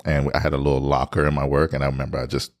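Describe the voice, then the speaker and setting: Very deep-voiced man, candid storyteller. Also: racing, heavy background noise. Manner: animated retelling, acting out his own past lines. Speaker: podcast co-host. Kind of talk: candid storytelling in casual chat.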